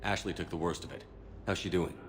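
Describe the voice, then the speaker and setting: Male actor as game starship commander: decisive, brisk, direct. Fast delivery, long reverb. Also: deep voice, fairly even intonation, slight background noise, lightly acted. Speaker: ship's commander. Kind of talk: video game cutscene dialogue.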